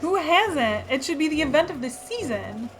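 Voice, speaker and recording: Lady acting polite wry lady reporter, radio drama, high voice, faint music. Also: sing-song, moderate pace. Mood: polite, grateful.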